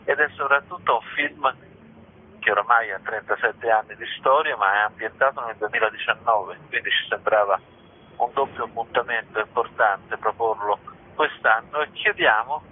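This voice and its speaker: A man's voice: medium pitch, normal speed, husky. Man on a muffled, tinny phone line. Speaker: library institution representative, interview guest. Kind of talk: radio interview, informative presentation. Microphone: telephone.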